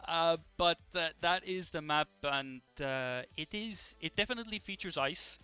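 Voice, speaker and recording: Bright-voiced man hosting, music behind. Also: fairly high pitch, normal speed, clear, resonant tone. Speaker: esports broadcast host. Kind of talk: casual live presenting and commentary. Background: music in the background.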